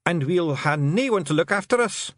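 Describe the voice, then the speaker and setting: Storybook clan chief, tiny and rowdy: high Scottish voice, swooping, pleading, anxiously respectful. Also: male voice, expressive, normal speed, fairly bright tone, no echo, studio quality. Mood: wailing dread. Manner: exclamatory, pleading, dialect-heavy. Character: small rowdy blue clan leader, anxious and deferential.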